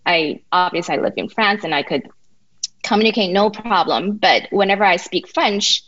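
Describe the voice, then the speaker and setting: A woman from Taiwan whose first language is Mandarin, speaking English as a podcast guest in a reflective, halting way with many restarts. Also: mid-range voice, a bit bright, no echo, highs cut off. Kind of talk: casual interview.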